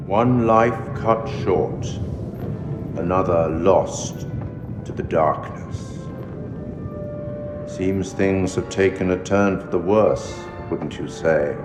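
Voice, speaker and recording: An actor playing a sinister, urbane keeper of tales in a horror game, speaking in measured, formal, wry and ominous monologue. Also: male voice, low voice. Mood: ominous, grave. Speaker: curator, narrator of a horror game. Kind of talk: theatrical scripted game monologue. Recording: echoey long reverb, music behind. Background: orchestral music.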